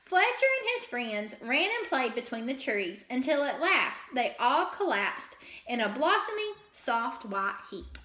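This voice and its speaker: Female storybook reader: high, bright, expressive, dramatic, recorded in an echoey room. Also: unhurried. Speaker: teacher reading a storybook aloud. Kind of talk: expressive children's read-aloud.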